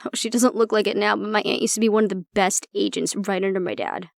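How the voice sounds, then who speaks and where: A woman performs an exasperated female agent in an intimate audio drama. Her voice is creaky and mid-pitched, recorded close and dry. She talks bluntly and sardonically, as if confiding in one person. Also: fast, no room sound, lightly acted. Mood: reflective, explaining. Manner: blunt, sardonic, conversational, swearing. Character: exasperated woman agent venting about her stubborn, disapproving father. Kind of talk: audio roleplay acting, intimate monologue to the listener.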